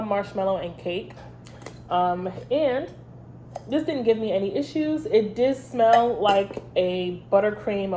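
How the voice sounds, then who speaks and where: Congested woman with a mellow voice. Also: mid-range voice, slight background noise. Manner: chatty, rambling, many ums. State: congested from a head cold. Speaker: candle review channel host. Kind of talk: casual review vlog.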